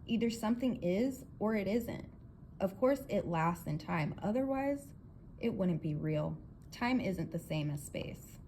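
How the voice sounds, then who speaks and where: A woman voices a contrary storybook gentleman who doubts everything. He argues emphatically and dismissively, with a little background noise around the voice. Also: medium pitch, lively intonation, unhurried. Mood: doubting, argumentative. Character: argumentative, skeptical man at a dinner party.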